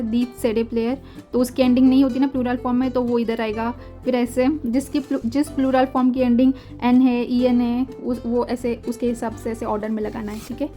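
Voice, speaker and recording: A young woman teaches German from a textbook, patient and repetitive, mixing Hindi with English. Her voice sits a little high. Soft music plays faintly behind her. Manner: patient, repeats words slowly, mixes Hindi and English. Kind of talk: explaining textbook exercises.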